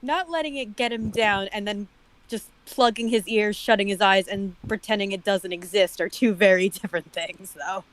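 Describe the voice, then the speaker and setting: A woman's voice: mid-range voice, normal speed, low-quality recording. A woman giving casual, wry and critical commentary as a guest on an online call.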